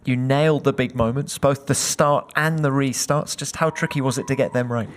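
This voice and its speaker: A man's voice: medium pitch, steady tone, moderate pace. A man asking questions as interviewer in a post-race interview.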